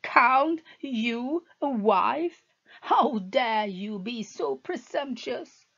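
A possessive, volatile husband in a storybook, condescending and sweet-talking toward his wife, read by a woman in close to her own voice. He speaks slowly, and the recording is dull and lo-fi. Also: medium pitch, lively intonation. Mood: furious.

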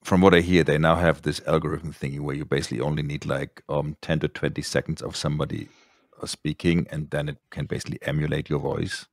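Deep deep voiced podcast host guy, gravelly, jokey and rambling, dry close mic. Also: flat delivery, quick pace, few pauses, no room sound. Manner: joking, laughs often, rambling. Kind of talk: casual chat on a video call. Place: home studio. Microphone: studio mic on a boom.

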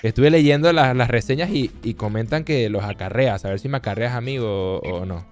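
A young man from Venezuela doing casual, jokey gaming commentary into a boom mic in a small home studio. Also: normal speed, faint music behind. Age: young adult. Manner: excitable, jokey, then chatty with teammate. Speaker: gaming youtuber. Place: small bedroom studio indoors. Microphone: boom mic with headphones.

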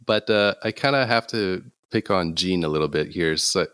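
Low-voiced man hosting an interview. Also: normal speed, a bit bright, clear, resonant tone, no room sound, studio quality. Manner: friendly, laughs between questions. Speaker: podcast host. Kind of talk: casual interview.

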